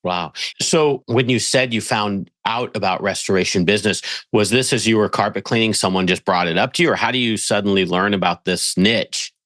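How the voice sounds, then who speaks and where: A man's voice: lowish voice, brisk, hardly any pauses, fairly bright tone, no room sound. A man hosting a podcast, introducing and interviewing in a conversational presenter style. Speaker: podcast host.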